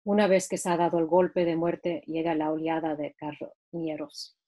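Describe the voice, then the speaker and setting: Brief: woman, lowish voice, steady measured reading from her book, home video call.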